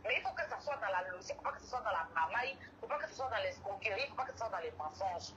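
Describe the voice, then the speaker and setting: A woman's voice: medium pitch, lively intonation, racing, muffled recording, heavy background noise. A woman speaking emphatically on a live stream, repeating her points and restarting sentences. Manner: emphatic, repetitive, hesitant restarts. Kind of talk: casual live-stream talk.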